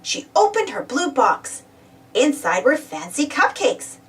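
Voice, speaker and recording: Very animated, high-voiced woman reading a storybook. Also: measured pace, far from the mic. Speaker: storybook reader. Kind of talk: animated children's read-aloud narration.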